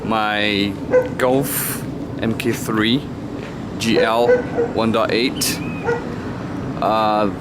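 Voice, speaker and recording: Slow, hesitant man with a Brazilian accent. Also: fairly low pitch, steady tone, very noisy. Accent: Brazilian Portuguese. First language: Portuguese. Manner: hesitant, many ums and uhs, searching for words. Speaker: car enthusiast vlogger. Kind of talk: casual car walkaround. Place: around a parked car.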